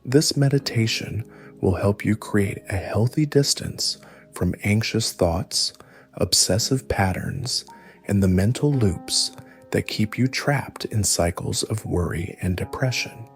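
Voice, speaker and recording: A man narrating a scripted guided meditation, giving gentle instructions in a soothing, measured way. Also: fairly low pitch, soft background music.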